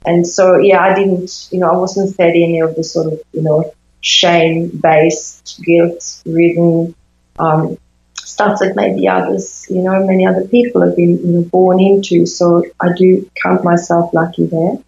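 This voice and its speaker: A woman in her fifties from Sweden, a coach talking through her answers in an interview, pausing and searching for words. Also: fairly low pitch, level tone, darkish tone. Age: 50s. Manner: thoughtful, searching for words with many fillers. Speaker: intimacy coach, guest. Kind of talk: interview conversation. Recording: echoey, dull lo-fi sound.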